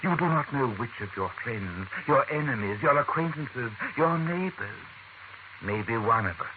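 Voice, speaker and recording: An actor playing a smug, menacing leader of secret alien invaders in an old radio drama, speaking in a measured, condescending way. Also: male voice, mid-range voice, lively intonation, close to an everyday voice. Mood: cold threat. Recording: noisy, phone-like old radio recording.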